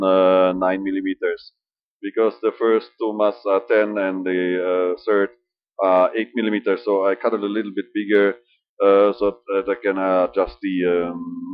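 A middle-aged man with a German accent, speaking halting English at home with many filler sounds and restarts. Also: fairly low pitch, no echo. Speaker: model ship builder. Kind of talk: casual hobby vlog. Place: small room indoors. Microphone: webcam at desk. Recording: dull lo-fi webcam audio.

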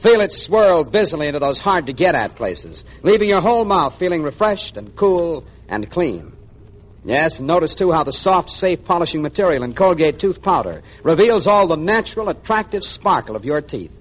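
A man's voice: on the high side, sing-song, moderate pace, low-quality recording. A man announcing a 1940s radio advertisement as an emphatic, friendly salesman. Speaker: commercial announcer.

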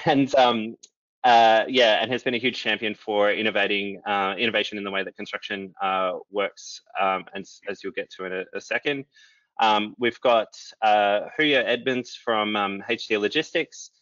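A young man moderating a panel on a video call, relaxed and filling pauses with ums. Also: on the low side, no echo. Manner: relaxed, many "um" fillers. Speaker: moderator, company chief executive. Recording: dull lo-fi video call audio.

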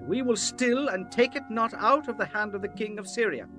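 A man's voice: sits high, moderate pace, sharp tone, soft background music. An actor playing a haughty, resentful king in a declamatory Bible drama. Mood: commanding. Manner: declamatory, theatrical.

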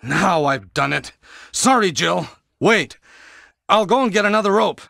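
Brief: burly, kindly commando buddy, fairly high voice, wildly sing-song stilted reads, retro game. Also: male voice, lively intonation, a little slow, vocal fry, sharp tone, full character voice. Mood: apologetic. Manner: stilted, exaggerated swooping delivery. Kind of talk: 1990s video game voice acting. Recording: long hollow reverb.

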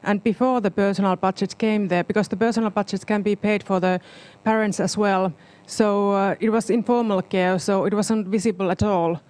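A middle-aged woman giving spontaneous panel remarks in halting non-native English, holding a microphone. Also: mid-range voice, fairly even intonation, a little mellow. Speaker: expert panelist. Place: seminar auditorium on stage. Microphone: handheld microphone.

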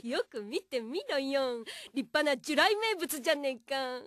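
A woman's voice: high voice, big pitch swings, a little slow, fairly bright tone, dry recording, clean studio sound, exaggerated voice. A woman playing a brash, tomboyish young woman in an anime radio drama, blunt, slangy and cocky. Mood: insistent.

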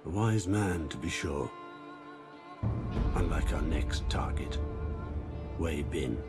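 An actor playing an older assassin master in a video game, a calm, patient mentor who speaks gravely and deliberately. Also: male voice, a bass voice, over loud music, full character voice. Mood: somber. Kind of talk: dramatic game cutscene dialogue.

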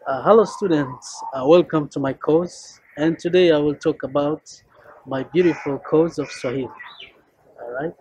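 A man's voice: fairly high pitch, moderate pace, darkish tone, breathy edge, dry recording, poor audio. A young man with a Kenyan accent, a friendly Swahili teacher introducing his course to camera on an outdoor rooftop, talking loosely with many fillers.

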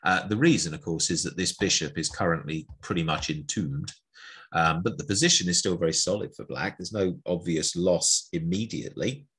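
A middle-aged man with a low, husky voice and a bright, forceful edge. He talks through chess moves one at a time. His manner is chatty and playful. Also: normal speed. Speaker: chess teacher. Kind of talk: chess lesson narration over an on-screen board.

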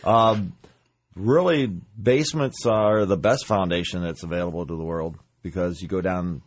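A man's voice: sits low, normal speed, no echo. A man speaking as a trade expert guest, explaining informally in a radio interview. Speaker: guest, basement builder.

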